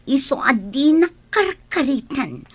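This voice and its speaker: A woman acting an ambitious, scheming, insistent young woman in Ilocano radio drama, with an Ilocano accent and a drawn-out emotional delivery. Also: high voice, sing-song, no echo, full character voice. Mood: insistent, threatening.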